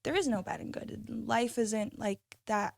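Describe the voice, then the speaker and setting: A woman's voice: on the high side, a little slow, creaky, darkish tone, dry recording. Guest voice: young woman from North Africa, thoughtful and searching, fairly dry recording. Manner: thoughtful, searching for words with many fillers. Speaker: guest, immigrant rights advocate. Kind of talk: reflective conversational interview answers.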